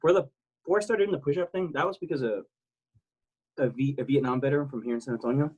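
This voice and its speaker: Husky rambling veteran man from South Texas. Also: mid-range voice, fast talker, a little mellow, lo-fi sound. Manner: rambling, many restarts and fillers, blunt and swearing. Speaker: interview guest, military veteran. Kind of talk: casual interview talk.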